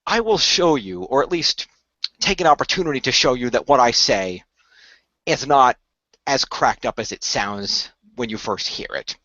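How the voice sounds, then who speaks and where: A man's voice: mid-range voice, lively intonation, moderate pace, sharp tone, dry recording. A man playing an earnest, persuasive company recruiter in a radio play, speaking formally and wordily with careful hedges. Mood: reassuring, persuasive. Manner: formal, wordy, persuasive, hedging. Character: earnest male company recruiter pitching secret magical project. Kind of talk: improvised radio play roleplay. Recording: dull lo-fi voice recording.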